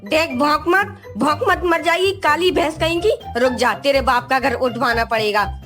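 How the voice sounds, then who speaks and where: A shrill, ranting old mother-in-law, played by a woman, spits insults in a high, piercing voice. She scolds nonstop in a comedy sketch, with music playing clearly underneath. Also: normal speed, a bit bright. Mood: shrieking abuse. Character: foul-mouthed, bad-tempered old mother-in-law, always shouting insults.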